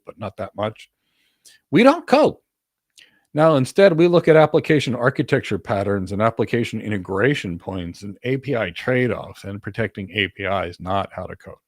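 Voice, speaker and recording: A man's voice: fairly low pitch, lively intonation, fairly quick, dry recording, studio quality. A man coaching in an informal Q&A, explaining in an assertive, emphatic way and repeating key words for stress. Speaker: career coach and host answering audience questions.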